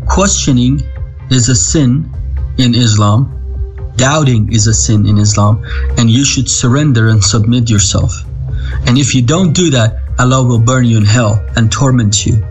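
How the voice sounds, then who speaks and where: A man in his thirties from Iran with an Iranian accent, a native Farsi speaker, telling his life story earnestly over a video call, halting with frequent restarts. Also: mid-range voice, faint music behind. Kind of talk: personal testimony.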